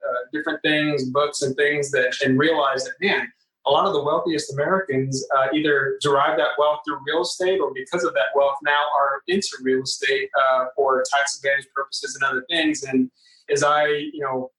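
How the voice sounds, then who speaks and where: A man's voice: medium pitch, steady tone, moderate pace, pushed and bright, far from the mic. An earnest man in his forties, born in the Philippines, talks as a podcast guest and restarts phrases with repeated words. His voice stays level, with little rise and fall. He sounds set back from the mic in a roomy space. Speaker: podcast guest, real estate investor. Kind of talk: conversational interview.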